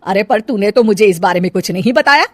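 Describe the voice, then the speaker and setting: Woman doing a loud, bossy mother-in-law. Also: mid-range voice, lively intonation, brisk, no room sound, lightly acted. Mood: suspicious, questioning. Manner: loud, scolding, demanding. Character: bossy, questioning middle-aged mother-in-law. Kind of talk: Hindi cartoon moral story.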